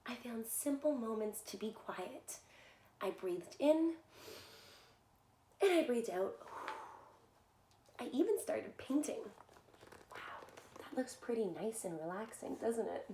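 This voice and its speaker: A woman reading a picture book aloud to young children in an animated, expressive way, often talking directly to them. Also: on the high side, slow pace, pauses often. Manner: animated, addresses listeners with simple questions. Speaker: children's story reader and soccer coach. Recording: echoey room, faint background noise.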